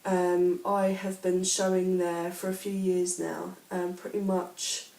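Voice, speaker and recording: A woman with a heavy cold hosts a relaxed craft podcast, sniffly and a little vague, wandering through her points with ums and false starts. Her voice sits fairly low. She is a step back from the mic in a room that echoes around her. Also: slow, vocal fry, recorded from a distance. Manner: rambling, hesitant, full of ums and restarts. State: has a bad cold, sniffly. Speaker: podcast host. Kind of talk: casual chatty craft podcast. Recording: roomy echo, speaking away from the mic.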